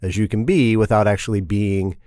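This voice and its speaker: A man's voice: fairly low pitch, steady tone, brisk, a little mellow, no echo, studio quality. A middle-aged man from Indiana, talking casually as a podcast guest, rambling and repeating and correcting himself. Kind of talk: casual interview.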